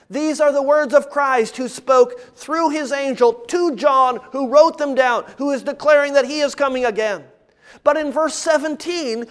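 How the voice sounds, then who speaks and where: A man preaching an expository sermon as a preacher, emphatic and deliberately repeating key phrases. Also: extremely high pitch, clean tone.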